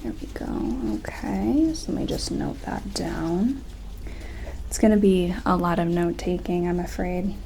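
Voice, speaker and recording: A woman playing a gentle, respectful sci-fi colony medic in a soft-spoken, hesitant ASMR roleplay, close to the microphone. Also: on the low side, lively intonation, measured pace, creaky, slight background noise. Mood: gentle, focused. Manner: hushed, hesitant, reassuring, informal.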